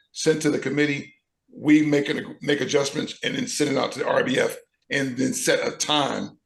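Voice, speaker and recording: Hoarse man chairing an online meeting. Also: medium pitch, roomy sound. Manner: businesslike, halting restarts. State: losing his voice. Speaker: committee chair. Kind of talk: online meeting, leading votes. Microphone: video call.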